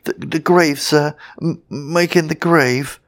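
A frightened storybook sexton, speaks in a raised, thin pitch well above a normal male talking voice. He stammers meekly and pleads with nervous deference. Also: fairly even intonation, takes it slowly. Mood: stammering.

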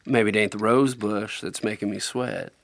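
A man's voice: on the low side, steady tone, moderate pace, a bit bright, dry recording. Flirtatious Southern country man. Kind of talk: old-time radio drama acting.

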